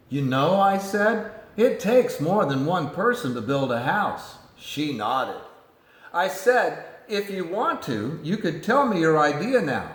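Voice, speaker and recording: Animated man reading a children's story. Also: a little high, reverberant, low-quality recording. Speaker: storybook reader.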